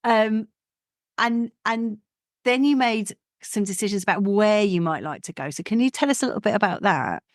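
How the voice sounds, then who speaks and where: A woman's voice: mid-range voice, lively intonation, moderate pace, no echo, studio quality. A woman hosting a podcast, interviewing in a conversational, encouraging and affirming way. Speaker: podcast host.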